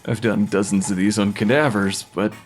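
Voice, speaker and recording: A man's voice: lowish voice, fairly even intonation, moderate pace, natural voice. An actor playing an awkward, earnest male forensic pathologist in an audio drama, precise but a little hesitant. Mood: matter-of-fact. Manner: hesitant, slightly flustered, precise. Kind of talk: full-cast audio fiction acting.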